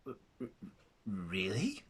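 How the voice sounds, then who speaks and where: A man's voice: medium pitch, wildly swooping pitch, unhurried, creaky, sharp tone, breathy edge, full character voice. A man voicing a timid female secretary who stammers in surprised gratitude. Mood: timid surprise. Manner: stammering, hesitant.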